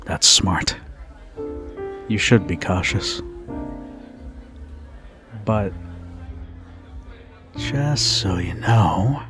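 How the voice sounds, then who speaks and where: A man playing a confident, protective bartender in an ASMR roleplay, teasing and flirty in an intimate, unhurried manner. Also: low-pitched. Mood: soft, inviting. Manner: teasing, unhurried, with soft chuckles and pauses. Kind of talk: ASMR roleplay, intimate second-person monologue.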